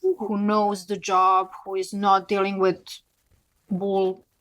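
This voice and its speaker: A woman's voice: mid-range voice, flat delivery, takes it slowly, a bit bright, rough recording. A woman from Serbia, a podcast co-host and former model, telling candid stories in a chatty way with many fillers and restarts. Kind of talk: casual chat.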